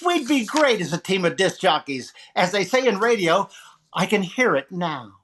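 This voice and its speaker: A man's voice: high voice, very animated, moderate pace, fairly bright tone, exaggerated voice. Brash wisecracking male radio comic as acted by a man, 1940s style, jive-talking disc jockey with showy, punchy announcer patter. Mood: bantering. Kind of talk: 1940s radio comedy acting.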